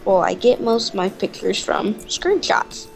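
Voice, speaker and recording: A woman's voice: medium pitch, big pitch swings, measured pace, vocal fry, soft background music. A woman co-hosting a story-time show, telling everyday stories in a casual, chatty style.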